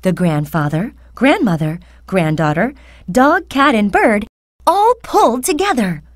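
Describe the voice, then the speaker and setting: A woman narrating a children's fairy tale, speaking carefully and deliberately as a storyteller. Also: medium pitch, very animated, no echo, clean studio sound. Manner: slow, careful, deliberate enunciation.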